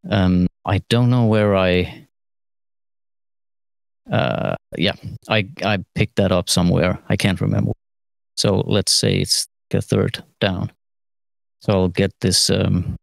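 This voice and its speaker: A middle-aged man with a fairly low voice talks through a studio task. He pauses and muses as he goes, in an informal tutorial way. The sound is dry, with little room. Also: fairly even intonation, vocal fry, dry recording. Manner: halting, musing, trailing phrases. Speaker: mixing engineer giving a lesson. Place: recording studio, seated at desk.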